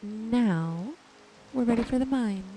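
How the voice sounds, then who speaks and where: Slow, gentle woman narrating ASMR gameplay. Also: a little high, animated, background noise. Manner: gentle, soothing, unhurried narration. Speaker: gaming video creator. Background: music and rain sounds.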